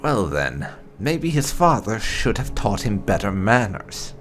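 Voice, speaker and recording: A man's voice: on the low side, very animated, unhurried, fairly bright tone, faint music behind. A man roleplaying through a video game, mixing sardonic in-character lines with casual commentary. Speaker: gaming video creator playing a roleplay character.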